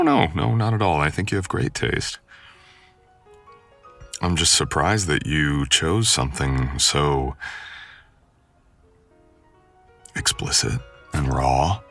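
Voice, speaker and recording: Man voicing a very deep, gravelly seductive stalker. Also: a bit bright, heavily acted. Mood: amused, intrigued. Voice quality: gravelly, breathy.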